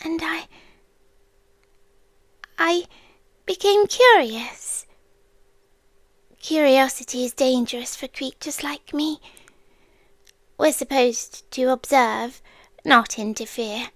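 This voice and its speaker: Casting: British actress as ancient female yandere creature for ASMR roleplay; high, soft, hushed, tenderly menacing, very close and dry. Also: no echo. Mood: musing, teasing. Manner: deliberate, teasing, hushed, with drawn-out pauses. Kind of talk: slow intimate ASMR roleplay, often dropping to whispers. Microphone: close microphone, dry.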